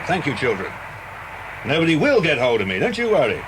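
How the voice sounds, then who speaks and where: An actor playing a genial, reassuring British detective and family friend in a children's audio drama. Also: male voice, wildly swooping pitch, brisk. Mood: hearty, reassuring. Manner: hearty, avuncular. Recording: noisy cassette with vehicle rumble.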